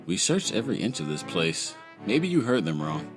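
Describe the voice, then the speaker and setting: A man voicing a jaded, sarcastic little fairy-world sidekick in a comic dub, with dry deadpan delivery. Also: deep voice, moderate pace, over music. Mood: deadpan teasing. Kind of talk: comic fan-dub voice acting.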